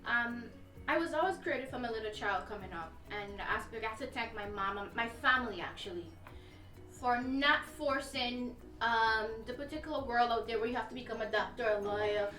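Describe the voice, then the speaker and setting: A woman with a bright, forceful voice tells her story with animated energy. She sits away from the mic in an echoey room, with faint music behind her. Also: fairly high pitch, fairly quick, far from the mic. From Guyana. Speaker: dancer and designer guest. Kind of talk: casual chat.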